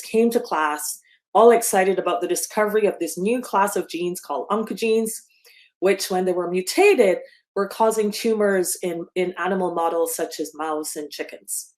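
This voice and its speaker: A woman in her fifties from Barbados, giving a remote slide presentation with frequent ums and self-corrections. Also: lowish voice. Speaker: guest speaker. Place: speaking remotely from home or office.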